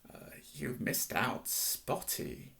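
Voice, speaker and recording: A man's voice: sits low, expressive, unhurried, gravelly, pitched below the performer's own voice, full character voice. A man voicing a wicked, gleeful poltergeist in a story reading, with sly, mock-sweet taunting. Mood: hissed taunting.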